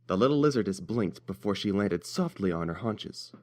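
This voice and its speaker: A man's voice: fairly low pitch, lively intonation, normal speed, darkish tone. A man narrating a scripted story as its reader.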